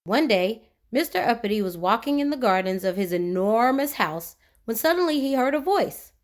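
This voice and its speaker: A woman reading a children's story aloud expressively, with dramatic emphasis and drawn-out key words. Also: on the high side, lively intonation.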